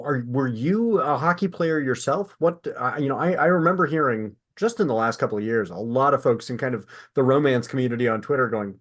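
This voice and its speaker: American man hosting a casual chat, mid-pitched. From born in Michigan, USA. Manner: informal, frequent affirming interjections. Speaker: podcast host interviewer. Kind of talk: casual conversational interviewing.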